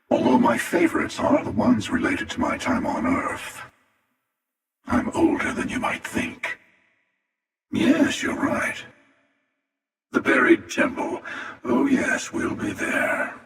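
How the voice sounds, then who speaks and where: An ancient, menacing male starfaring hunter for a video game. His delivery is very slow and wry, with a heavy rasping creak that gives every taunt a theatrical edge. The voice echoes heavily, as if set back in a vast chamber. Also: very high voice, huge pitch swings, fairly bright tone, far from the mic, full character voice. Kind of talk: scripted video game character dialogue. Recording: cavernous reverb, voice set back from mic.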